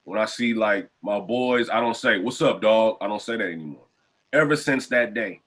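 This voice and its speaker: A man with a somewhat low voice hosts a podcast over a video call. He talks in an easygoing way, with casual slang and friendly asides. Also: moderate pace, husky. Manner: laid-back, relaxed slang. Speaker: podcast host.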